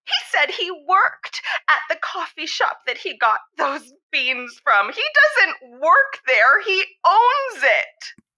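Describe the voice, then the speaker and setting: High-voiced idealist girl caller, super dramatic and indignant, swoops all over, audio comedy. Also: sing-song, takes it slowly, bright, forceful tone, heavily acted. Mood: hurt, indignant. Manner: theatrical, emphatic, indignant. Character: distraught young idealistic socialist woman, indignant radio call-in guest.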